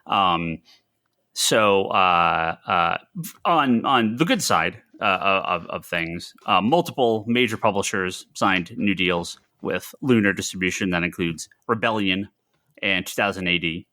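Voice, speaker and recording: An American man co-hosting a casual discussion of industry news, often halting and repeating phrases. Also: low voice, sharp tone, no echo, studio quality. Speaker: podcast co-host.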